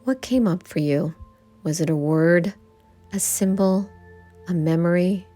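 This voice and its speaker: Woman, meditation guide, fairly low pitch, gentle instructing, short paused phrases, faint background music. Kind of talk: guided yoga nidra meditation.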